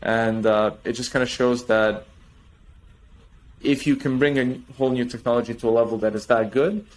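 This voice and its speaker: Animated man explaining conversational tech. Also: mid-range voice, normal speed. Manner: animated, explanatory, trails off and restarts. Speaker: tech company guest. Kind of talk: podcast interview.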